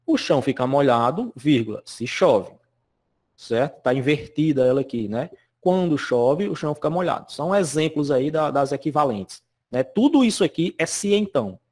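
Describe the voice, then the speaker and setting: This is a man, teaching a recorded lesson from slides. His voice sits a little high for a man and swings up and down a lot. He keeps checking in with his students as he goes. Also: animated, moderate pace, darkish tone. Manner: chatty, constantly checks in with "né?" and "certo?".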